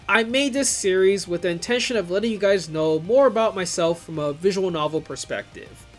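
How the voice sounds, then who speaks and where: A video creator giving scripted commentary in an informal, wry way. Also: medium pitch. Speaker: video creator reviewing visual novels.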